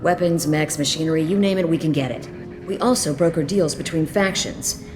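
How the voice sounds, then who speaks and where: A woman's voice: deep voice, fairly quick, music in the background, natural voice. A woman voicing a jaded, hard-edged mercenary lieutenant in a video game, frank and businesslike with a bitter edge.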